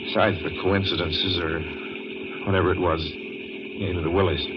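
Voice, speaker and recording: Actor as low-voiced, haunted man, old radio. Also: flat delivery, very noisy, lightly acted. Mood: uneasy, recounting. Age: 30s. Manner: grave, deliberate, dramatic. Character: anxious 36-year-old man recounting a haunted cross-country drive. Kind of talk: 1940s radio drama acting, first-person narration. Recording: muffled 1940s radio broadcast, loud music.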